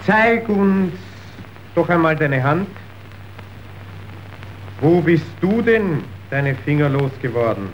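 An actor playing a calculating factory middleman in a radio drama, speaking in a measured, deliberate way. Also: on the high side, animated. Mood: coolly commanding. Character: calculating male factory middleman, coolly testing people. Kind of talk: scripted radio drama acting. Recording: dull, faintly hissy old radio recording.

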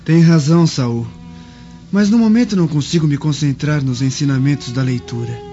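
A man plays a jealous, melancholy young noble in a radio drama. He broods earnestly in a mellow voice of middle pitch. There is faint music behind him and the sound is slightly dull. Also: normal speed, natural voice. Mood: brooding, bitter.

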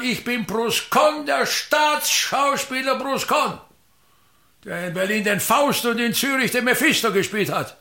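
An actor playing a pompous aging state actor in a radio play, declaiming and grumbling bitterly, swinging between mutters and outbursts. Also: male voice, high-pitched, pushed and bright, exaggerated voice. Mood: haughty, contemptuous. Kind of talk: theatrical radio-play monologue.

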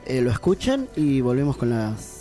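A man's voice: mid-range voice, big pitch swings, normal speed, warm tone, faint music behind. A middle-aged man co-hosting a radio show, chatty and playful, laughing often. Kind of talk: casual radio chat.

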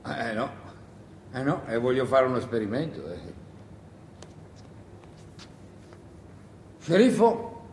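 A man's voice: mid-range voice, very animated, normal speed, darkish tone. An actor playing a weary, hungry wartime partisan who mutters and rambles to himself in a theatrical monologue, breaking off his own sentences. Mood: agitated. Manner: rambling, muttering, self-interrupting, exclaiming. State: tired. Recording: roomy echo with faint noise.

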